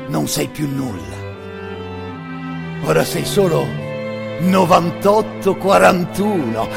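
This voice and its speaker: A man with a gravelly voice performing an intense, incantatory scripted monologue in the second person, repeating phrases between long pauses. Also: sits high. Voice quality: gravelly, creaky. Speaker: actor and storyteller of a solo radio theatre monologue. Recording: music bed under the voice. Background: music.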